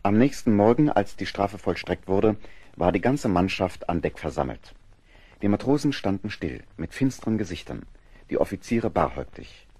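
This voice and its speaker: A man as the grave narrator of a seafaring audio drama, telling the story in a measured, scripted manner. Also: deep voice, lively intonation, dark tone, slightly rough, dry recording.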